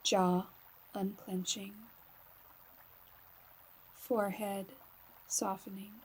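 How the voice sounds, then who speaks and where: A woman guiding a slow meditation in a soft, breathy voice, often near a whisper, calm and soothing, with long pauses. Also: mid-range voice, a little hiss or hum. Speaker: yoga nidra guide.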